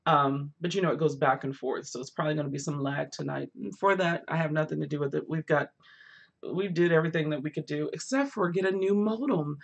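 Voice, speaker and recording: A woman hosting a live book chat from home on her phone, chatty and quick to laugh, with a voice that is going hoarse. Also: sits low. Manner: chatty, laughs often, rambling asides. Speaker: book vlogger hosting a livestream. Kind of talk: casual live chat with viewers.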